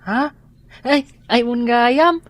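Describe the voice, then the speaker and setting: Polite young schoolboy. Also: female voice, on the high side, extremely slow, no echo. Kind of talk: Ilocano family drama acting.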